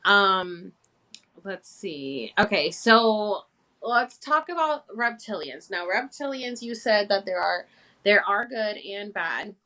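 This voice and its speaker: A woman's voice: medium pitch, lively intonation, slow pace, bright, forceful tone. A woman hosting a livestream chat who asks hesitant questions padded with "um". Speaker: host.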